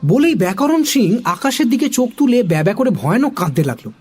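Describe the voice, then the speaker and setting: A woman narrator with a husky voice, reading a children's story in a very lively, varied tone. Faint music plays behind her. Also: on the high side, a little fast.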